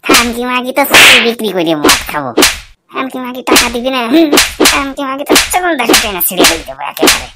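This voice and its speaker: A drunken, bald cartoon husband acted by a woman who threatens and swears his way through every demand. The voice sits fairly high and is menacing and coarse in manner. The recording has loud noise and clicks behind it. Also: very animated, quick pace. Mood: angry bullying. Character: abusive bald drunken husband, bullying and foul-mouthed. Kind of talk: cartoon comedy dialogue. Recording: very noisy, clicking background.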